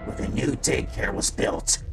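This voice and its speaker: A performer playing a guilt-ridden male robot daycare attendant in a fan comic dub, halting and sombre, with a gravelly voice that drops into whispers. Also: loud music behind the voice, close to an everyday voice. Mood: haunted regret.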